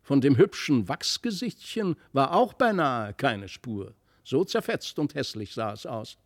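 A man reads a literary story as a narrator. His voice sits in the middle range. The delivery is expressive and clearly scripted storytelling. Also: mid-range voice, measured pace. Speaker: audiobook narrator.

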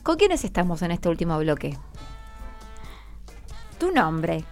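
A woman's voice: fairly low pitch, very animated, takes it slowly, a little mellow, faint music behind. A woman with a Buenos Aires Argentine accent hosting a casual radio interview with schoolchildren, prompting and reassuring them. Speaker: radio host. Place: radio studio. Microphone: studio microphone.

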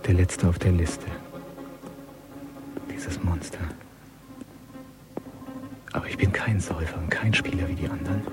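An actor playing a cold, calculating gangster in a radio crime drama, brooding in a hushed inner monologue. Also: male voice, deep voice, music in the background. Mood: cold, defiant. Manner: slow, brooding, half-whispered inner monologue. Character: cold, calculating young male gangster thinking aloud.

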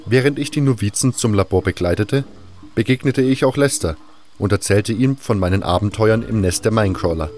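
A man's voice: fairly low pitch, fairly quick, darkish tone. Male audio drama narrator over music. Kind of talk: scripted first-person storytelling. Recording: music under the voice.

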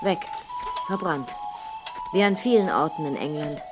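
An actress playing a composed, matter-of-fact widow of an old manor in a German radio drama, speaking in a measured, guiding way. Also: female voice, deep voice, music in the background. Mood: sober, matter-of-fact. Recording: echoey reverberant vault.

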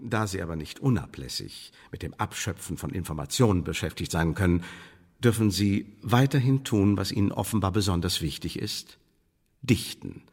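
An actor playing a coolly menacing English intelligence official in a radio play, speaking in formal, measured, condescending bureaucratic phrases. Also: male voice, low-pitched, expressive, darkish tone, breathy edge, full character voice. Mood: formal, controlled. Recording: studio radio play with long reverb.

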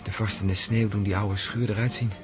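A man's voice: fairly low pitch, little pitch movement, a little fast, music in the background, close to an everyday voice. An actor playing Nicolai, an earnest, romantic young nobleman in a radio play. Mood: tender. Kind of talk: radio drama acting.

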